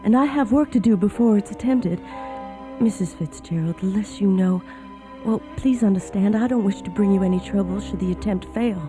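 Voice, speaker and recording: An actress playing a proud, sorrowful young Southern wife in a radio drama. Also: female voice, medium pitch, normal speed, over music, close to an everyday voice. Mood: determined.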